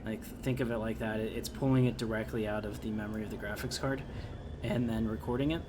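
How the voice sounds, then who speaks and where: A man's voice: fairly low pitch, steady tone, fast. A man hosting a gaming video with casual, conversational playthrough commentary. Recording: clear noise behind the voice.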